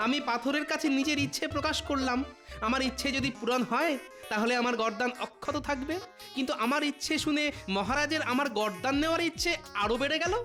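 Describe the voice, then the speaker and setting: A man's voice: very high voice, brisk, pushed and bright. A man voicing a clever, witty court jester in a Bengali comedy cartoon, theatrical and humbly pleading as he explains himself. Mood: clever, explaining. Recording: music behind the voice.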